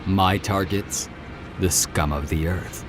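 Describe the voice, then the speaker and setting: A man's voice: deep voice, steady tone, takes it slowly, music in the background. A man playing a taunting, swaggering hunter god with a drawl in an audio drama. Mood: grim menace. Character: cocky, taunting male hunter god, menacing and mocking.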